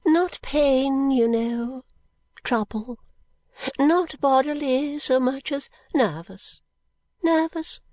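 Fluttery eccentric old lady, high and slow, genteel and nervous, as a woman reader puts her on. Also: dark tone, dry recording. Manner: high, slow, stately and confiding, drops to whispers.

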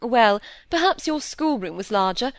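A woman's voice: a little high, expressive, unhurried, no echo, studio quality, voice pushed up. A woman voicing a frank, teasing, world-weary young noblewoman in a period novel, speaking in a breezy, imperious and emphatic way. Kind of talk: audiobook character voice in a period novel.

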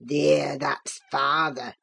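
A woman voicing a spiteful old male servant with a croaking Yorkshire accent and a gloating cackle. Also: deep voice, little pitch movement, fairly bright tone, pitched below the performer's own voice, heavily acted. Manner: slow, mocking dialect speech. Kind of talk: audiobook character reading.

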